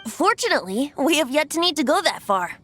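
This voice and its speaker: A woman's voice: sits high, sing-song, fairly quick, a bit bright, soft background music. A woman voicing a cheerful, youthful male bard in game cutscene dialogue, lilting and theatrical. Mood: playful.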